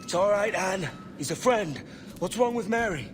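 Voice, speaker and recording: A man voicing a hot-blooded but gruffly tender pirate captain in a video game cutscene. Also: high-pitched, expressive, moderate pace, background noise. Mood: urgent concern. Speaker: video game lead character. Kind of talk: dramatic game cutscene acting. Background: music.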